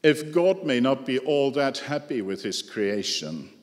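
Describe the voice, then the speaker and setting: A man delivers a prepared lecture in English, which is not his first language. He speaks in a measured way, dropping dry, ironic asides, at a middling pitch. The voice rings with the long reverb of a large hall. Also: animated. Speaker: speaker giving a talk.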